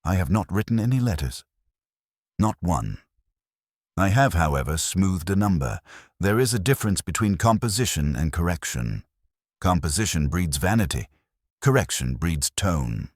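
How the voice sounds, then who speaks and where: A man's voice: sits low, sing-song, moderate pace, no room sound, clean studio sound. A man voicing a prim, imperious older English widow who speaks in precise, formal aphorisms for an audio mystery drama. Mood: dry, self-assured.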